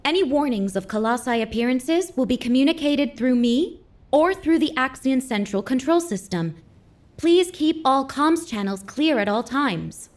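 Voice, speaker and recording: A woman's voice: high voice, lively intonation, normal speed, darkish tone. A woman playing a young, nervous cadet adjutant in a video game, formal, dutiful and a bit hesitant. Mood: formal briefing. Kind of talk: video game dramatic acting.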